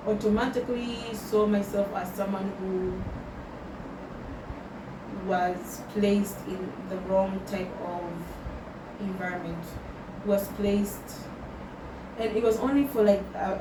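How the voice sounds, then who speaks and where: A young woman from Tanzania, talking slowly and thoughtfully in a personal vlog and slipping Swahili words into her English. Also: mid-range voice, flat delivery. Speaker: vlogger. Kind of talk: reflective personal vlog, answering questions. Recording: roomy sound from a distant mic with loud background noise.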